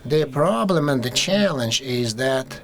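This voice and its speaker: A Russian man with a Russian accent, speaking as a panelist at a conference discussion, halting and full of fillers and restarts. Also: mid-range voice, animated, crowd noise.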